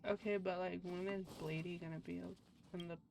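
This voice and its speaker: A woman's voice: fairly low pitch, fairly even intonation, normal speed, creaky, noisy background. A young woman chatting casually as she streams a game, informal and rambling, given to swearing and complaint. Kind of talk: casual live-stream chat over gameplay.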